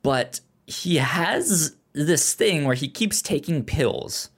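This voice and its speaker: A man's voice: fairly high pitch, lively intonation, takes it slowly, slightly rough. A man co-hosting a podcast, chatting casually about a movie, often stammering and repeating syllables. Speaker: podcast co-host.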